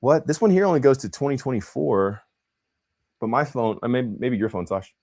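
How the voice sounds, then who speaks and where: Young male host, loose slangy livestream banter, lively pitch swings. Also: moderate pace. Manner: animated, slangy, says bro and man a lot. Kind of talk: casual livestream chat.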